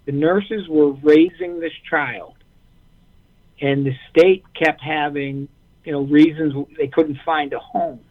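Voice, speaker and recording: A man from Massachusetts calls into a radio interview and tells his story in an earnest, halting way, stopping and restarting his sentences. His voice sits a little high for a man and comes through a thin, phone-like line. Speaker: guest, nonprofit representative.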